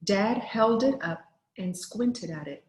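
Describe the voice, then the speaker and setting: A woman teacher reading aloud to children in an expressive storybook style, with deliberate, clearly phrased delivery. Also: medium pitch, roomy sound.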